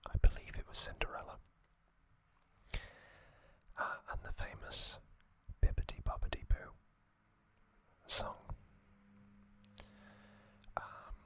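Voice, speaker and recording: An ASMR host whispering slowly, rambling through trivia with fillers and musings. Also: bright, forceful tone. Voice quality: unvoiced whisper.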